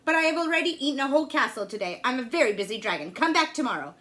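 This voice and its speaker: A woman voicing a boastful, busy male dragon in a storybook, talking loudly and in a rush. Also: high-pitched, sing-song, exaggerated voice. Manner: loud, boastful, rushed.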